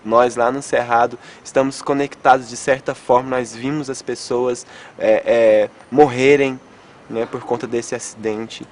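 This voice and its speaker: Cara de goiânia, artista de teatro, fala pensativo numa entrevista, um chiadinho leve atrás. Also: male voice, mid-range voice, flat delivery, unhurried, a little hiss or hum. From Goiânia, Brazil. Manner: thoughtful, checks in with "né?" often. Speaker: theatre artist. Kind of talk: reflective interview.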